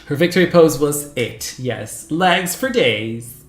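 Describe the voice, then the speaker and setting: A man whose first language is Portuguese, hosting a casual livestream and chatting with viewers in an animated, gushing way, squealing greetings. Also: fairly high pitch, slow pace. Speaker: livestream host. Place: home room. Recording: echoey room with faint background music.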